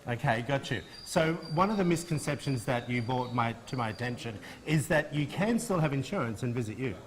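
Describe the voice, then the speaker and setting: A man's voice: mid-range voice, animated, fairly quick. Chatty man hosting a TV show. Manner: upbeat, chatty. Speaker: TV host. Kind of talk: TV interview.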